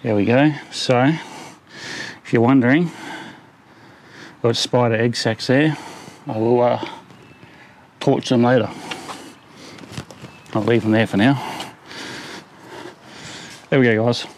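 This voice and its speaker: A man's voice: mid-range voice, slow, pauses often, slight background noise. A man, talking casually while working on his car outdoors on a driveway. He mutters his thoughts aloud between tasks, with plenty of fillers. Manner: relaxed, thinking aloud, many fillers. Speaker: vlogger. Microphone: camera on tripod, then handheld camera at arm's length.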